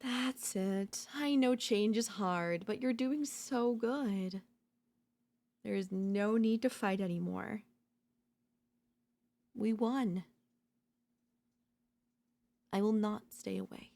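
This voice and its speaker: A woman playing an obsessive, domineering yandere in a dark ASMR roleplay, seductive and smug, slow and teasing, slipping into whispers. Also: medium pitch. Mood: seductive whispering. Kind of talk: dark ASMR audio roleplay, intimate dramatic acting.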